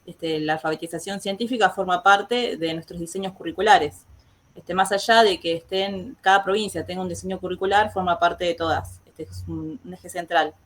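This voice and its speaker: An Argentine woman hosting an online educational talk, pausing often on filler words as she introduces guests and asks questions. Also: fairly low pitch, slight background noise. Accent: Argentine Spanish. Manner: hesitant, many filler words. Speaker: host. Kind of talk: casual online interview.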